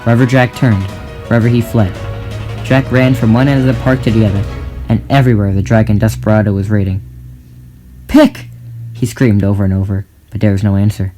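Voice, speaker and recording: A man's voice: fairly low pitch, level tone, fast, dark tone. A man narrating a scripted story in a dramatic style, with music behind his voice. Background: clear music.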